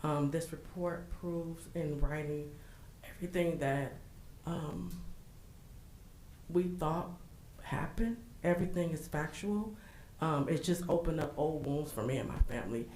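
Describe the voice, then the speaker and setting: A hoarse woman steps up to a podium in an echoey council chamber and speaks earnestly. Her delivery halts now and then with fillers, with faint noise underneath. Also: low voice, a little slow, darkish tone. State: hoarse from illness. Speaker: public commenter. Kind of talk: public comment at a council meeting.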